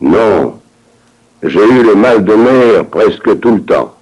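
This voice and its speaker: A man reading scripted dialogue for a language course with an expressive, theatrical delivery. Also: lowish voice, slow, highs cut off.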